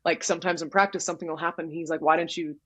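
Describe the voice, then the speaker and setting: A young woman from Texas, a college goalkeeper telling stories in a loud, direct, animated way during a casual interview. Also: sits low, rapid-fire, husky. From Dallas, Texas. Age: young adult. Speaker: soccer goalkeeper, guest.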